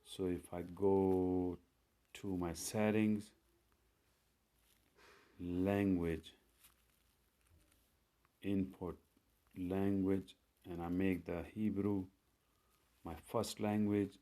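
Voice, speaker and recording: Slow, low-voiced man walking through phone steps. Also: level tone, warm tone. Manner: step-by-step, unhurried, plain instructions with fillers. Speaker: tech tutorial host. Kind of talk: screen-recorded phone tutorial.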